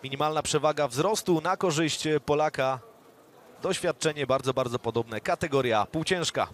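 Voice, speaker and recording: A man giving live play-by-play boxing commentary, calling punches briskly. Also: a little high. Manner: brisk, factual calls of punches. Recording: commentary over a loud crowd.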